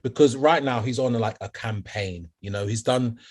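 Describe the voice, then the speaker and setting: A man with a nasal voice co-hosting a music podcast in casual, animated chat. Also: medium pitch, normal speed. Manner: animated, repeats "do you get me". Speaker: podcast co-host.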